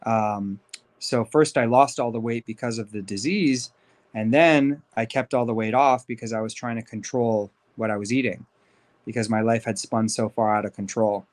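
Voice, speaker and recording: A man's voice: on the low side, steady tone, moderate pace. A man of thirty from Los Angeles, a life coach who is a podcast guest, telling his life story in an upbeat, candid way. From Los Angeles, USA. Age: 30s. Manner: upbeat, candid, talks freely with fillers. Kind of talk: casual interview storytelling.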